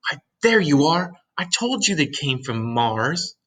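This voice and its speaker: A man voicing a panicky male police chief who yells in a high, frantic voice. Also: big pitch swings, measured pace, exaggerated voice. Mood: blustering. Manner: frantic, high-pitched yelling.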